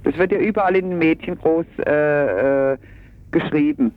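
Over a thin, narrow phone line, a man answers an interviewer. His voice sits fairly high, and he talks in a colloquial, hesitant way. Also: moderate pace. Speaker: travel agency employee being interviewed. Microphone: telephone.

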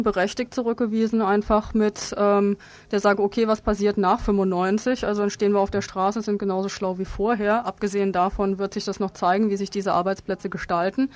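A woman being interviewed as an activist guest, explaining her position in a halting, spontaneous way with frequent ums. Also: mid-range voice, no room sound.

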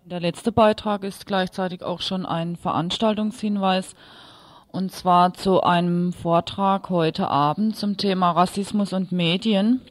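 A woman's voice: lowish voice, steady tone, a little slow, no echo. A woman presenting radio news, reading from a script.

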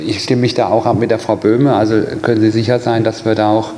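A man's voice: fairly low pitch, flat delivery, fast, dark tone. A man answering as an official in a committee meeting, explaining things off the cuff. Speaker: city administration official. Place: large indoor meeting room. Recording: echoey meeting hall with clear background noise.

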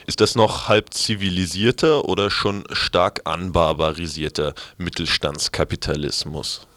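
A man's voice: deep voice, fairly even intonation, unhurried, fairly bright tone, no echo. A man reading scripted material as a radio presenter and newsreader. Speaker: radio presenter and news reader.

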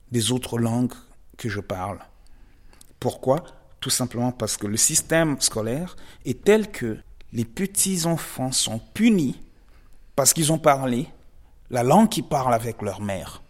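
A man from the DR Congo, a guest artist giving measured, reflective interview answers with frequent pauses and emphatic rises. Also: mid-range voice. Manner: measured and thoughtful, pausing often, rising to emphatic stresses.

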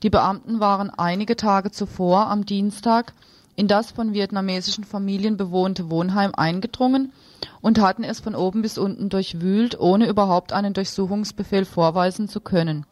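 A woman works as a radio news presenter. Her voice sits at an average pitch, recorded close and dry with very little room sound. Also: moderate pace, a little mellow, no echo.

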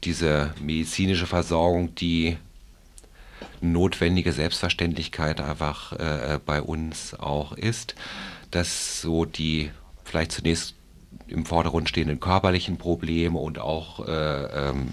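A man with an extremely low voice answers interview questions about his work. He explains things carefully in formal wording and corrects himself as he goes. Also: level tone, slow. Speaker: clinic staff member being interviewed.

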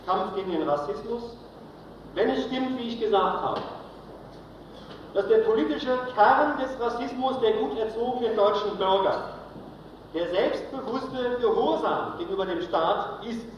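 High-voiced man, emphatic political lecture, repeated phrases, distant in a reverberant hall. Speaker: political speaker at a congress. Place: large hall, live speech. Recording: very echoey hall, distant, dull, faint noise.

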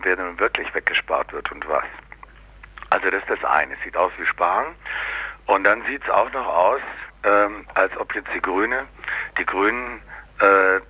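A man's voice: sits low, breathy edge, no room sound, highs cut off. A man explaining his views casually in an interview, sardonic and colloquial, with many little tag questions.

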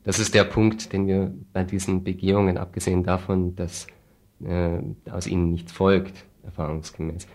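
A man, an activist being interviewed, explaining things deliberately in a critical, dryly sardonic manner. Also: low-pitched, level tone, darkish tone. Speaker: refugee aid activist, interview guest. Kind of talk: spontaneous explanatory interview talk.

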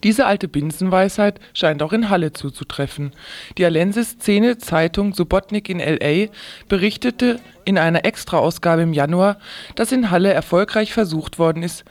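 A man presents radio news from a script. His voice sits high for a male reader. The sound is dry, with hardly any room to it. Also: no room sound.

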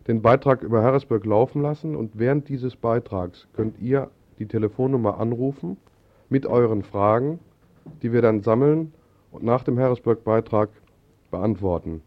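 A man's voice: mid-range voice, moderate pace. A man hosting a live radio broadcast, making an announcement to listeners. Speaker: radio host. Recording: tinny band-limited 1980s radio broadcast.

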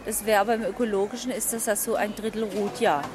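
A woman's voice: medium pitch, steady tone, fast talker. A woman farmer and market seller answering interview questions informally at her outdoor stall. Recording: busy outdoor market chatter.

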